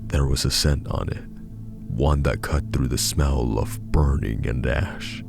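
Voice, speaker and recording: A man reading a scripted horror story as narrator, in a measured, dramatic way. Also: a bass voice, gravelly. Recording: music behind the voice.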